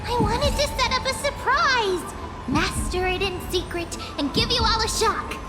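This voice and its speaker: Very high, cutesy young girl. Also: measured pace, over loud music. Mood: bashful, excited. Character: playful, dreamy young girl, coy and earnest. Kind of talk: anime-style video game voice acting.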